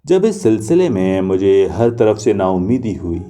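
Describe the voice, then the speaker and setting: Man reading a story in a dark, low voice. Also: very animated, moderate pace.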